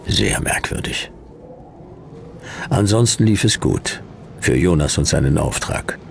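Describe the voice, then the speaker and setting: A laconic private detective in a sci-fi noir radio play. His voice is extremely low and creaky, his phrases terse and wary, with slight ambient noise behind him. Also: expressive, unhurried, heavily acted. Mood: dry, sceptical. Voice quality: very deep, gravelly. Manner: terse, clipped, dry delivery. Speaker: narrator and lead actor. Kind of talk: German sci-fi noir radio drama, first-person detective narration.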